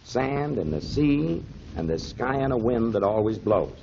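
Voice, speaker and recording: An actor playing a kindly, avuncular mentor explaining things to children in emphatic 1930s radio style, on a muffled old recording. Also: male voice, medium pitch, very animated, a little slow, creaky, background noise. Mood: earnest storytelling. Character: kindly grown man, storytelling mentor to children, pipe smoker.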